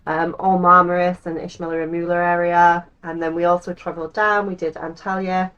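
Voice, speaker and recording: An English woman in her thirties talks straight to camera as the host of her own channel. Her voice sits a little low, and she chats in a loose, rambling way, often pausing on an um. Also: level tone, fairly bright tone, clean tone, muffled recording. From England. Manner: chatty, rambling with frequent ums. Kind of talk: casual talk to camera.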